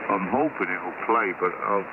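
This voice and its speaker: An Australian man chatting casually as an amateur radio operator, rambling a little and pausing, over a radio transmission. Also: low-pitched. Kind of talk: casual ham radio chat. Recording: narrow radio signal, loud static noise. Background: loud radio static and hum.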